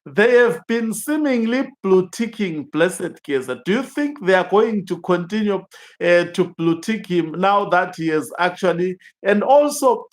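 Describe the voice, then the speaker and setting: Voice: medium pitch, lively intonation, measured pace. A news show host asks long questions full of fillers and restarts.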